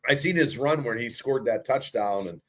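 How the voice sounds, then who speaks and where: A man's voice: mid-range voice, moderate pace. A congested, under-the-weather man hosting a casual sports podcast, rambling with frequent restarts. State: congested, sick. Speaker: podcast host.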